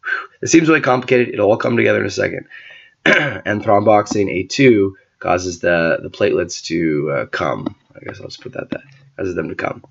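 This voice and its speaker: A man who is sick and losing his voice gives an informal whiteboard lecture, often clearing his throat and using filler words. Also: low voice, expressive, normal speed, a bit bright, muffled recording. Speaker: teacher explaining a medical topic.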